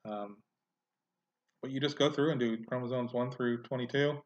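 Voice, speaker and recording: A man of ordinary pitch talks through a software walkthrough, thinking aloud and pausing on "uh" and "um." His home recording is dull and lo-fi, with steady noise and the clicks and typing of a computer behind him. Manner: thinks aloud with frequent fillers. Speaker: genealogy tutorial host.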